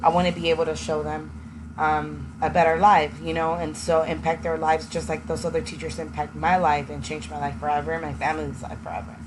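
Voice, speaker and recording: A young woman who grew up in Texas, a native Spanish speaker, earnestly telling her own story as an education student and pausing often with ums. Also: low-pitched, level tone. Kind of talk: personal testimony. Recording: noisy with passing vehicle sounds.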